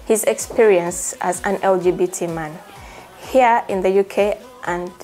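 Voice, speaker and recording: A woman's voice: mid-range voice, a little slow, warm tone, soft background music. A woman hosting a conversational interview. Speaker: host.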